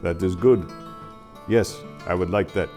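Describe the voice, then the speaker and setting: A man's voice: low voice, measured pace, dark tone, soft background music. A man voicing a lonely, polite Congolese immigrant who speaks in short formal sentences, in a story reading. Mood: reserved, polite.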